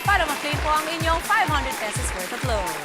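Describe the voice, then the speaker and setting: Female studio host, very high pitch, cheerful sign-off delivery over music. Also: very animated, a little fast. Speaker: morning show studio host. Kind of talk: upbeat TV show sign-off.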